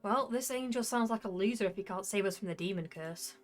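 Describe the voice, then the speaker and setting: A woman's voice: medium pitch, brisk, faint music behind, close to an everyday voice. A woman voicing a sceptical, blunt male archer in a visual novel, dry and mocking. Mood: sardonic.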